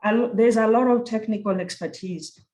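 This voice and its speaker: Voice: mid-range voice, moderate pace, darkish tone. A speaker from Kenya with a Kenyan accent, giving an earnest, reflective talk at a virtual conference as a university program director, often circling back to rephrase a point.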